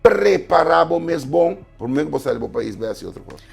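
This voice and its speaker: Gravelly, husky-voiced man. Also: fairly high pitch, animated, fairly quick, soft background music. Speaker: guest writer. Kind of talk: studio talk show discussion.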